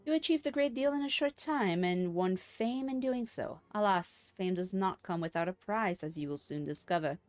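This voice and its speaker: A woman's voice: medium pitch, natural voice. A woman voicing a gracious, formal female leader from a fantasy game, reading in stately phrases. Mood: solemn, praising. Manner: formal, measured, stately wording. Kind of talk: video game dialogue read aloud. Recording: game music behind the voice.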